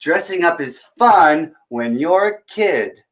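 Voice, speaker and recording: Higher-pitched man reading a children's story. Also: expressive, takes it slowly. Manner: encouraging, talks directly to young listeners. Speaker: children's story time reader. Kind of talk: read-aloud picture book storytelling with chatty asides.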